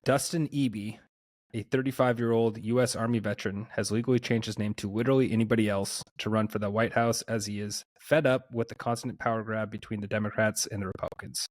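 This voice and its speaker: A man's voice: fairly low pitch, fairly even intonation, fast, no echo. A man co-hosting a podcast, chatting casually and informally. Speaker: podcast co-host. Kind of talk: casual chat.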